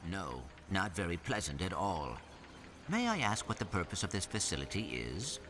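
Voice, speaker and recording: An actor playing a small, earnest, polite robot in a video game, speaking formally and precisely. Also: male voice, sits low, big pitch swings, normal speed, background noise. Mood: polite, curious. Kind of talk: video game cutscene acting. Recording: loud music behind.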